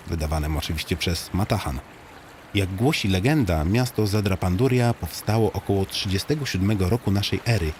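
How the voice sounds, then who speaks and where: Low-voiced, very animated male storyteller. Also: slight background noise. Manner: tongue-in-cheek mock-solemn storytelling with animated swings. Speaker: audiobook narrator and guide to a fictional city. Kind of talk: comic scripted narration addressed to the listener.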